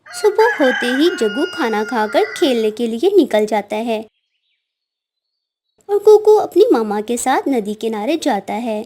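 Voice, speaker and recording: A woman narrator reads a scripted story for children. Her voice is very high in pitch and mellow in tone. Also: sing-song, unhurried, a little hiss or hum.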